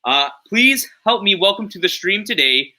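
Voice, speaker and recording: Bright-voiced upbeat male host. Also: sits high, moderate pace. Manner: upbeat, friendly, lively interjections. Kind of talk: casual interview chat.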